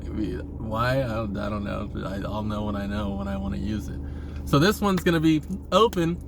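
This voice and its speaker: A man's voice: fairly low pitch, animated, fairly quick, creaky, noisy background. A man talking casually in a rambling monologue, hesitant and often restarting his phrases, as a vlogger posing questions.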